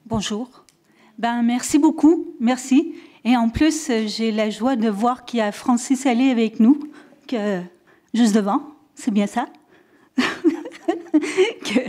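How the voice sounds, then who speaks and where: A woman's voice: a little high, slow pace, long pauses, mellow. A woman with a Canadian French accent asking an effusive, rambling question from the audience at a conference.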